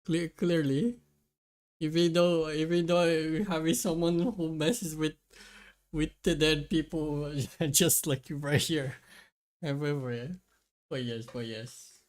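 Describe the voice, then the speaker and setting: A streamer of unclear sex with a rough, strained voice, chatting casually and excitably while playing a game, giggly and given to repeating little phrases. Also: medium pitch, takes it slowly. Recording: music behind the voice.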